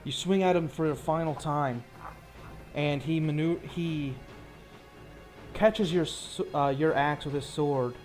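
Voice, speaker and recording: A man's voice: fairly high pitch, slow pace, a little mellow, soft background music. A man acting as game master, narrating a tabletop roleplaying fight in vivid, gory detail and breaking off for rules talk.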